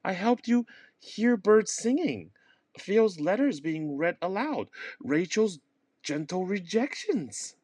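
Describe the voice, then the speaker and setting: Guy doing a talking ear for a comedy play, nervous, snarky, kinda high and bouncy. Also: sing-song, takes it slowly, a little mellow, dry recording, exaggerated voice. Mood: pleading. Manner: nervous, sarcastic. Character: talking severed ear, nervous, pleading, sarcastic.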